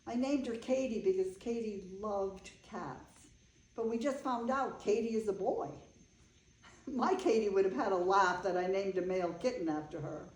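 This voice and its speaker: A woman's voice: medium pitch, moderate pace, a little mellow, lightly acted. A woman playing an eccentric, affectionate older bride in a staged reading, with an earnest, rambling way of telling things. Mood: chatty, playful. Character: Eve, eccentric older bride, affectionate, chatty animal lover. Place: indoor room, staged reading. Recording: echoey room.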